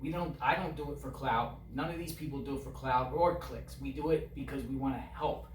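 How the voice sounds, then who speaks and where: A man's voice: medium pitch, fairly quick. A man giving earnest, casual interview answers, repeating phrases for emphasis. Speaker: online video creator, interviewee. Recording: echoey, distant mic, faint noise.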